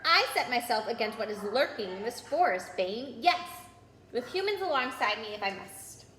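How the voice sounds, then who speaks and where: A noble male centaur as a woman reads him speaks gravely and earnestly in a storybook tale. The voice is fairly high, in a roomy, echoing space with faint noise. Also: big pitch swings, normal speed, a bit bright. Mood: defiant. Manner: solemn, earnest, formal.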